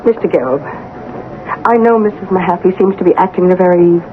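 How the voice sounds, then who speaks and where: A woman's voice: on the low side, brisk, narrow, tinny audio, natural voice. Actress as concerned woman friend, hesitant and polite, 1970s radio play, thin noisy recording. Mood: earnest, uneasy. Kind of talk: 1970s radio drama acting.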